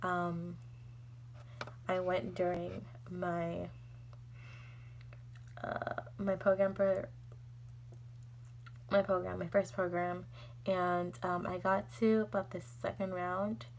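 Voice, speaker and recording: A woman's voice: lowish voice, level tone, slow pace, pauses often, a little hiss or hum. A young woman telling a personal story in a casual, exasperated, rambling vlog style. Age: young adult. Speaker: vlogger.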